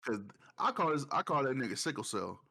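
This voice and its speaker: A man with a mid-range voice and very lively, swinging pitch banters as a podcast co-host. His storytelling is joking, slangy and profane. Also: a little fast, gravelly, slight background noise. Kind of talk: casual banter.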